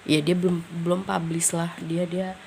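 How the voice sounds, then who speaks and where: A woman's voice: on the low side, flat delivery, moderate pace, vocal fry, noisy background. A woman with a stuffy, nasal voice from a cold, doing a casual tarot reading, thinking aloud and tossing in English words. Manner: musing, thinking aloud with frequent fillers. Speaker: tarot reader.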